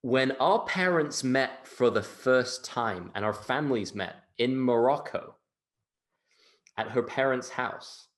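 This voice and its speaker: Man, British accent, interview guest, conversational, emphatic, repeats phrases, mid pitch. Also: slow pace. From England.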